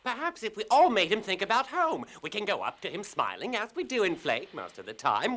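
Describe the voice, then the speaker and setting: A man voicing a vain, bossy cartoon Viking sailor who talks in a deliberate, fussing way. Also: high voice, sharp tone, heavily acted, low-quality recording.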